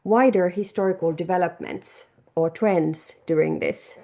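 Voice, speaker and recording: A woman hosting a webinar, calmly putting prepared questions to a guest. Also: fairly low pitch, measured pace, creaky. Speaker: webinar host. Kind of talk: interview questions. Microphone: video call.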